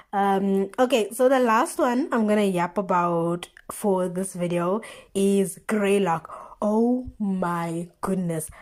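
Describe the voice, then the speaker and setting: Young South African woman, mid-pitched, casual vlogger storytelling, slangy and expressive. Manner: animated slangy retelling with exclamations and rhetorical questions. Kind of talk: casual chatty storytelling commentary.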